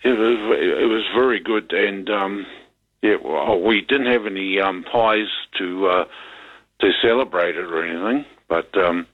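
A man's voice: deep voice, unhurried, breathy edge. An older man with a New Zealand accent calling a talkback radio show, rambling hesitantly with many ums and repeated words. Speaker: caller. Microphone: telephone. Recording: tinny phone line.

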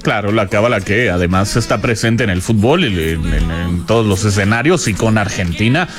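A man's voice: lowish voice, moderate pace. Sports radio host, male, over music. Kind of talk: live sports radio discussion.

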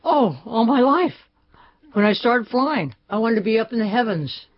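A woman's voice: a little high, animated, moderate pace. An older woman speaking proudly and emphatically in an archive interview. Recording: dull archive recording.

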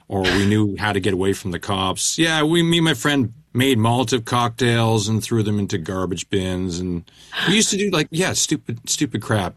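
A man's voice: lowish voice, a bit bright, dry recording, clean studio sound. A middle-aged man from Canada, a musician guest chatting casually, rambling and self-deprecating with lots of restarts. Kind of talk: casual interview chat.

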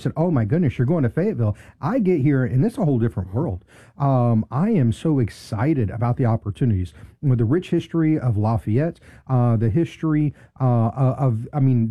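A man's voice: medium pitch, mellow, dry recording. A middle-aged man from South Carolina with an American accent, talking earnestly as a guest in a conversational interview. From South Carolina, United States. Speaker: charity officer, interview guest.

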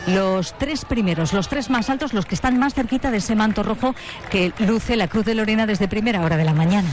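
A woman's voice: medium pitch, fairly quick, a little mellow, slight background noise. Chatty female field reporter. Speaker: radio reporter.

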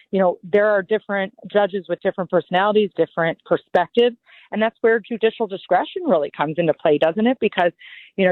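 A woman in her forties, a judicial candidate, answering interview questions in an earnest, measured, lawyerly way. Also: medium pitch, no echo. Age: 40s. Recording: phone-like line, dry.

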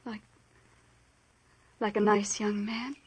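An actress playing a gentle, earnest young woman who runs a small-town gas station, in 1940s radio style. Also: medium pitch, level tone, slow, husky.

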